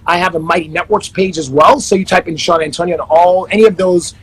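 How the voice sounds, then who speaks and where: Upbeat guy in his 30s, kinda husky, high voice, on a video call. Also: steady tone, quick pace, poor audio. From Panama and the Netherlands. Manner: upbeat, self-promoting, many restarts. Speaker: life coach guest. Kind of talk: casual interview chat.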